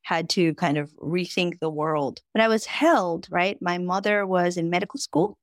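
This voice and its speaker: Lively fifties woman telling family stories. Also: on the low side, normal speed, no room sound, studio quality. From Dominican Republic. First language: Spanish. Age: 50s. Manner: lively, vivid storyteller with frequent "right?" asides. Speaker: podcast guest, physician. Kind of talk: casual conversation, personal storytelling.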